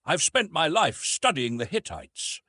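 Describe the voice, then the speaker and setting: A man reading the part of an aging archaeologist, reflective and gentle, his voice a little husky. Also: on the high side, huge pitch swings, unhurried, no echo, studio quality, voice pushed up, exaggerated voice. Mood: resigned. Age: older. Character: aging male scholar, weary and resigned.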